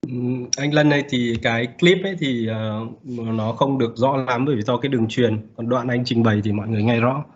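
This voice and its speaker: Fast husky guy hosting an online seminar, muffled call audio. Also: mid-range voice. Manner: quick, polite, with fillers. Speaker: seminar moderator.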